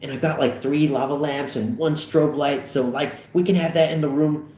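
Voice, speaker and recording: A man voicing an anxious, excitable, nerdy teenage boy in a comedy screenplay read aloud. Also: on the high side, normal speed, pitched above the performer's own voice, natural voice. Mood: excited. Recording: echoey room.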